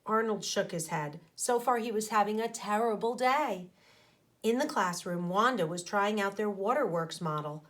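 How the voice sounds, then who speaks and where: A woman teacher reading a children's story aloud expressively, pausing to explain things to her young listeners. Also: mid-range voice, fairly bright tone. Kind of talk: animated storybook read-aloud with asides to children.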